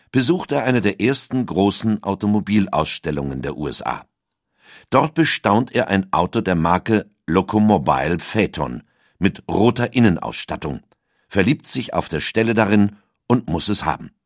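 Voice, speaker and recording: Deep-voiced male documentary narrator. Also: no room sound, studio quality.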